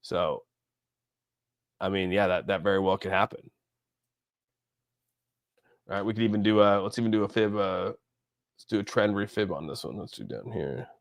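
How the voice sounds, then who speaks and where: A man's voice: deep voice, moderate pace, long pauses, no room sound, clean studio sound. A man hosting a casual livestream, rambling informally and thinking aloud as he comments on markets and responds to viewers. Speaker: livestream host. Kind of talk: casual live chat commentary on crypto markets.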